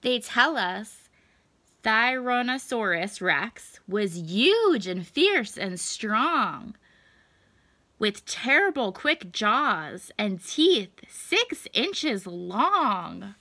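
A young adult woman reading a picture book aloud to children, expressive and deliberate, stretching words for emphasis. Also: on the high side, dry recording. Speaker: children's story time reader. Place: small indoor room at home.

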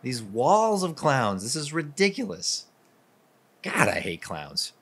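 A man's voice: mid-range voice, huge pitch swings, slow pace, a bit bright, a little hiss or hum, heavily acted. A man playing an earnest, jittery newlywed husband in a radio drama. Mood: creeped out.

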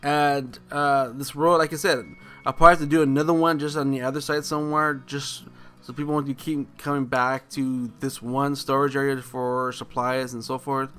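A man's voice: medium pitch, flat delivery, normal speed, a bit bright, clean tone. A man hosting a casual game walkthrough, rambling and enthusiastic, with many restarts and fillers. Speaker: gaming channel host. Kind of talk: casual gameplay walkthrough commentary.

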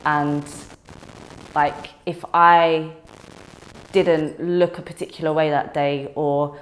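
A woman's voice: low voice, slow pace, low-quality recording. A young woman being interviewed in a studio, talking frankly and casually with frequent ums and easy laughter. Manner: frank, relaxed, laughs easily, many ums.

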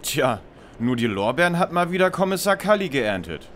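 An actor playing a wordy, know-it-all young detective who lectures others in a parody radio play. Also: male voice, a little high, very animated, moderate pace, fairly bright tone, a little hiss or hum. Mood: teasing.

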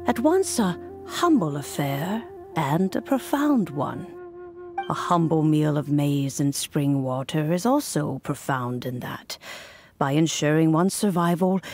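An actress voicing a wise elderly woman in a video game, speaking in a formal, measured way. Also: low voice, darkish tone. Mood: reflective. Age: older. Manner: slow, stately, formal speech.

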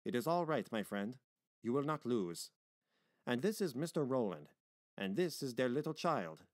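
A man voicing a brisk, shrewd businessman in a storybook, formal and courteous. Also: medium pitch, big pitch swings, no room sound, clean studio sound, lightly acted. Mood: courteous, reassuring.

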